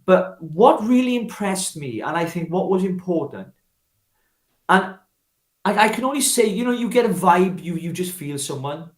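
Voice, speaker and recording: A man's voice: high-pitched, expressive, moderate pace, echoey room. A Welsh man speaking off the cuff as a political interview guest, emphatic and oratorical, leaning on repetition and rhetorical questions. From Wales. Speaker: political activist guest, former parliamentary candidate. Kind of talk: spontaneous political interview talk.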